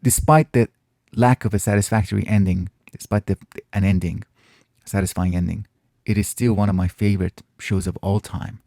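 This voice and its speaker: A man whose first language is Farsi, hosting a casual Q&A and answering viewer questions in a thoughtful way, often pausing and restarting his phrases. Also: on the low side, steady tone, dark tone, dry recording, clean studio sound. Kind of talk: casual reflective Q&A monologue.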